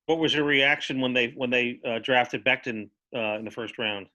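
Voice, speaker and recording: A man working as a sports reporter, putting questions at a press conference and rephrasing haltingly as he goes. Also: mid-range voice, pushed and bright, muffled recording.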